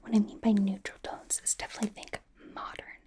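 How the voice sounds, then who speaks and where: A woman playing a bubbly young female K-pop idol as a doting stylist, whispering slowly and reassuringly in an ASMR roleplay. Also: medium pitch, flat delivery, dark tone, natural voice. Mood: encouraging, attentive. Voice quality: breathy whisper. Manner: gushing, reassuring, chatty with trailing repeats. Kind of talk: ASMR roleplay, personal attention.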